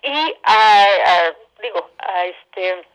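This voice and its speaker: A Mexican woman explaining things as an interview guest in an expressive, colloquial, storytelling way over a telephone line. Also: medium pitch, extremely slow. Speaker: interview guest, theatre director. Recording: dull telephone-line sound.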